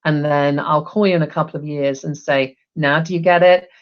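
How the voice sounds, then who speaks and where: A woman's voice: deep voice, steady tone, brisk, lo-fi sound. A middle-aged woman from England, chatting upbeat as an interview guest over a video call, with many ums. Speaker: guest, HR executive. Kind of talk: casual interview talk. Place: home room, video call.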